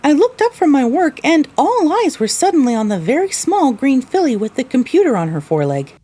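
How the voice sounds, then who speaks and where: A woman's voice: sits high, sing-song, a little fast, warm tone. A woman narrating a scripted first-person story.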